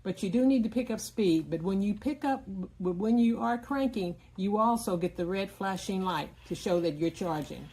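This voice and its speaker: Female product reviewer, medium pitch, plainspoken and explanatory, casual hands-on demo delivery with frequent mid-sentence pauses. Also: a little mellow, poor audio.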